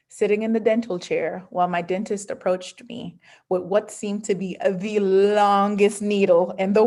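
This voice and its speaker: A woman's voice: medium pitch, a little mellow. A woman giving an interactive online talk, speaking emphatically and directly to listeners over a video call. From born in Jamaica, grew up in Georgia, USA. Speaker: pediatric dentist, guest speaker. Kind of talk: prepared, interactive online presentation. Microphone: computer on a video call.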